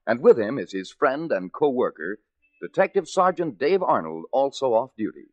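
A man's voice: mid-range voice, very animated, normal speed, no room sound, dull audio. A man announcing and narrating in a scripted 1940s radio drama style. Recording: old radio broadcast with music. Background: music.

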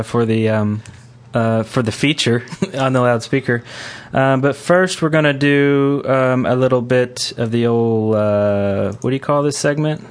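A man hosting a gaming podcast in a casual, conversational style. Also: mid-range voice. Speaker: podcast host.

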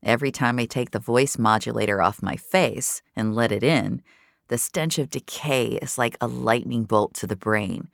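Very deep-voiced woman narrating, dry studio sound. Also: moderate pace, creaky, no room sound. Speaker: narrator of an audio fiction series. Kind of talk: first-person scripted dramatic narration.

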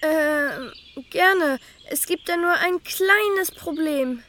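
Small, helpful talking train, children's audio drama; high, child-like, cheerful and polite. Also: female voice, extremely slow, sharp tone, a little hiss or hum, heavily acted. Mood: polite, hesitant. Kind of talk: children's audio play acting.

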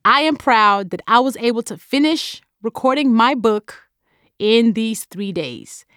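A woman with a Nigerian accent, an author talking about recording her audiobook in an energetic, emphatic way. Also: a little high, a little slow, dry recording, clean studio sound. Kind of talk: reading a prepared statement about her audiobook.

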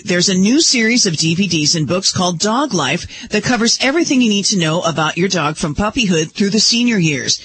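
A woman's voice: fairly low pitch, a bit bright, breathy edge, rough recording. A woman reporter reading a scripted radio news report.

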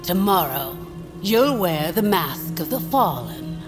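A slow, menacing vulture lord. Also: female voice, fairly low pitch, sing-song, music in the background. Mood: stern, scornful. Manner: slow, deliberate, theatrical, coldly threatening. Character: menacing vulture lord, interrogator and assassin hunter. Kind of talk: audio drama acting.

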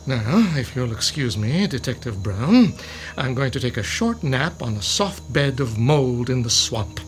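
Smug, mock-polite horror villain. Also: male voice, medium pitch, sing-song, background noise. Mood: mock-polite menace. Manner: genteel, gloating, unhurried politeness. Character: smug, mock-polite male mad villain spreading spores. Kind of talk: horror radio drama acting.

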